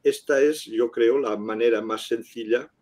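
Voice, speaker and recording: Older man, unhurried, hesitant, video call from home. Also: mid-range voice, steady tone, a little mellow. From Catalonia, Spain. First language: Catalan. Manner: unhurried, hesitant with many fillers. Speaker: guest scientist. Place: home room indoors. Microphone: video call webcam.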